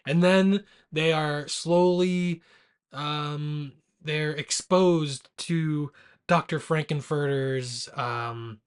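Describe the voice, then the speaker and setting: A man's voice: a little high, steady tone, very slow, clear, resonant tone. A man co-hosting a podcast, chatting casually about movies in a dry, teasing way. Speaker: podcast co-host.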